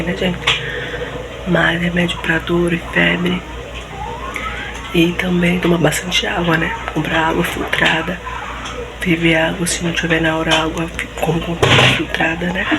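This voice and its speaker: A hoarse Brazilian woman chatting casually to her viewers at home, rambling and checking in with them often, her voice rough after a recent flu. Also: on the low side. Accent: Brazilian Portuguese. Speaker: homemaker vlogger. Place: home, near a running washing machine. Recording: loud household noise, washer and clattering dishes.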